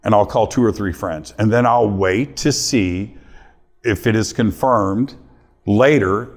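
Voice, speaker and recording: A man's voice: lowish voice, unhurried. A middle-aged man, a radio host, telling a story to a live audience and acting out his own quotes. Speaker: radio host giving a talk.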